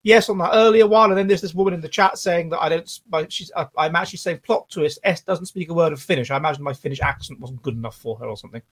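A man answers viewer questions as a guest on a casual livestream. He talks haltingly, looping back on the same words before finishing a thought, with a voice pitched a bit above the usual man's. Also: a bit bright, husky. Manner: halting, repeats words while thinking.

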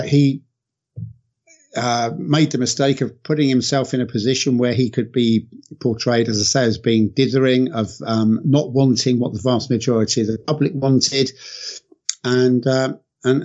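A man with a croaky voice from a cold, speaking as a guest commentator, hesitant and full of ums and uhs. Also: medium pitch, steady tone, unhurried, darkish tone. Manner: hesitant, many fillers. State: hoarse from a cold. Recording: dull lo-fi line.

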